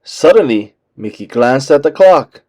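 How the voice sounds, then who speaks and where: A man reading a children's book aloud as an expressive storyteller. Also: mid-range voice, a little slow.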